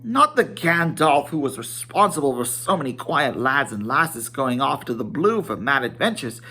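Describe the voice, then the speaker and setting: A man voicing a fussy, flustered, excitable little hobbit gentleman in a storybook reading, polite, rambling and full of exclamations. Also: mid-range voice, moderate pace, slightly rough, exaggerated voice. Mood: excited, apologetic.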